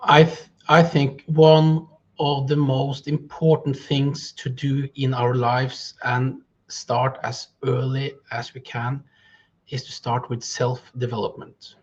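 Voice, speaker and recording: A man whose first language is Norwegian, speaking English as an interview guest, thoughtful and pausing with fillers. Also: medium pitch, flat delivery, muffled recording. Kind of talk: interview conversation.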